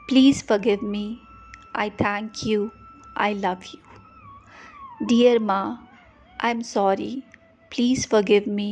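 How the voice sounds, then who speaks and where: A woman leading a guided prayer meditation, speaking gently and repeating short phrases for the listener to echo. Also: on the high side. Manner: slow, gentle, repetitive phrasing.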